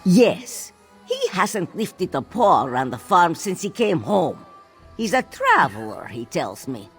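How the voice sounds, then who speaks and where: A woman voicing an aging female cat-folk farm owner in a fantasy video game, a proud, exasperated mother with a husky voice and formal third-person phrasing. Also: lowish voice, sing-song, slow, soft background music, full character voice.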